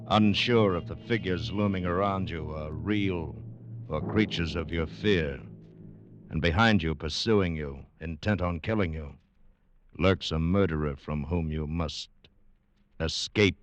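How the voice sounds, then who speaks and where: Very deep, ominous radio suspense narrator. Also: male voice, a little slow, over music. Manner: ominous, dramatic. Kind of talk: 1940s radio thriller narration.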